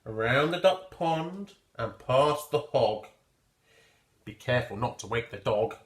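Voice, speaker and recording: Scheming male storybook villain, read by a man, cunning, drawn-out pace, swooping intonation, roomy echo. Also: mid-range voice, lively intonation, close to an everyday voice. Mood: cunning, plotting. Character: scheming male thief plotting to steal a cow. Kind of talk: slow, dramatic children's storybook reading.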